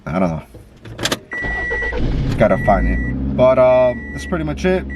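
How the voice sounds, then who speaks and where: A man's voice: medium pitch, very animated, measured pace, creaky, muffled recording, background noise. A man with a stuffy nose, talking in a casual, rambling way with lots of fillers and swearing, filmed on a camera while driving. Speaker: vlogger. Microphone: camera mic.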